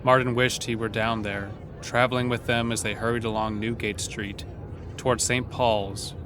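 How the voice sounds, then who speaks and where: A man's voice: mid-range voice, flat delivery, normal speed. Audio drama narrator, male, mid-range, literary horror read, audible street noise bed. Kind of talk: scripted horror story narration. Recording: clear outdoor street ambience behind voice.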